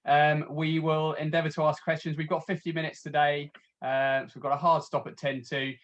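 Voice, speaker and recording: A man's voice: fairly high pitch, flat delivery, brisk, a bit bright. A man hosting a webinar, chatting loosely and in a friendly way with guests over a video call. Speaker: host. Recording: dull lo-fi video call audio.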